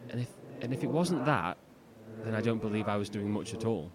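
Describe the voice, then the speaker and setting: An actor playing a troubled, gentle man in a horror audio drama, hesitant and emotional in his delivery. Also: fairly low pitch, lively intonation, a little fast, noisy background, natural voice. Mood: lost, unsure. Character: troubled, gentle man trapped in darkness, longing for family.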